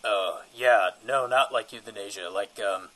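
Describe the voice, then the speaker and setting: A man's voice: on the low side, measured pace, sharp tone, poor audio. A man playing a shifty, defensive field reporter in a comedic audio drama, rambling and repeating himself evasively.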